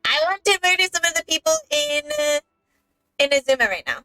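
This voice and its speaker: A woman's voice: very high voice, wildly swooping pitch, a little fast, vocal fry, sharp tone, no room sound, faint music behind, poor audio. A woman co-playing a game on a stream, bantering casually. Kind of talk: casual banter over gameplay.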